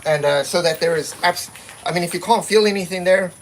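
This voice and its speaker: A man's voice: sits high, fairly quick, slight background noise. A man giving a casual, practical talk at a seminar, plainspoken and given to repeating himself while he explains. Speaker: seminar presenter.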